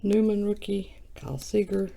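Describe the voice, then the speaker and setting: A woman who has just woken up, with a low morning voice, chatting casually while opening trading cards on camera. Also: moderate pace, gravelly, warm tone, slight background noise. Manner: chatty and self-mocking, reading off card names. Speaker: card breaker. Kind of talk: casual card-opening vlog.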